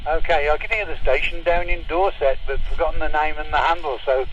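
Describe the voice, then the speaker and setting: A man's voice: a little high, steady tone, fast talker, fairly bright tone, recorded from a distance. A man chatting casually as a CB radio operator, heard over a radio link. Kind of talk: casual radio contact chat. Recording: noisy, muffled CB radio transmission.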